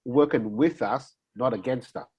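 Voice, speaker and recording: A man's voice: medium pitch, normal speed, warm tone, breathy edge. A man from Australia, a guest in an interview, talking loosely with many fillers and restarts. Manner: rambling, many "you know" fillers and restarts. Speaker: interview guest, community advocate. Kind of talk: casual conversational interview.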